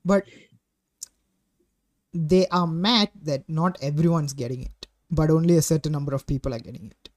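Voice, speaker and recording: Eighteen-year-old Malaysian English-accented male host; blunt, profane, animated delivery. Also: fairly high pitch, normal speed, pauses often. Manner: blunt, swears freely, animated. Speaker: podcast host. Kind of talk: casual podcast chat.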